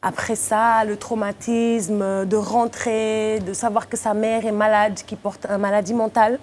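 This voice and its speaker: A woman in her thirties, a guest actress on a talk show, speaking French as a second language in lively, laughing chat. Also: mid-range voice, a little slow, slight background noise. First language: not French. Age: 30s. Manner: lively, laughs often, animated when joking.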